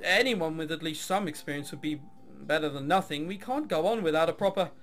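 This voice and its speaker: Man as a timid musician, hesitant, polite, deferential, raised pitch, visual novel character, music behind. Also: fairly quick, fairly bright tone. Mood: timid, pleading. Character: nervous, deferential orchestra musician pleading timidly.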